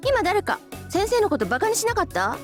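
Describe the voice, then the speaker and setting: A scatterbrained, exuberant young female teacher from a visual novel. Her voice is very high and swoops about quickly as she scolds in a cutesy, bossy way. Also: sing-song, faint music behind. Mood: cheerful, bossy. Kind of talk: Japanese visual novel voice acting.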